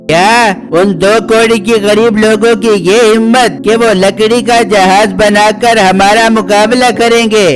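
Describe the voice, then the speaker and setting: High-pitched arrogant rich brat played by a man. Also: steady tone, measured pace, few pauses, music in the background. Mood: mocking, boastful. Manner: taunting, boastful. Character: landlord's arrogant, mocking, spoiled son. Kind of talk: Hindi cartoon voice acting.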